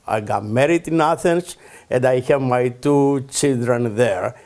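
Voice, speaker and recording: Older Greek immigrant man, slow unhurried delivery, hesitant and halting, Greek accent, interview guest. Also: mid-range voice, gravelly, darkish tone. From Greece. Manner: halting, many pauses and fillers, broken English. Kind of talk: oral history interview.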